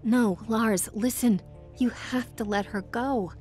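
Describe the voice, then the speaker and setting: Slow-spoken, worried rebel fighter. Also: female voice, a little high, fairly even intonation, darkish tone, faint music behind. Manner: pleading, cautionary. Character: stern, worried young woman rebel fighter. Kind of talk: video game cutscene acting.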